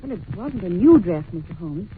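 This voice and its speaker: A woman's voice: on the low side, normal speed. A noisy, narrow-band vintage radio recording carries a young governess. She is well-mannered but anxious and curious, and speaks with earnest, theatrical expression. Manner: polite, earnest, expressive storytelling. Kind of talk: old-time radio drama acting.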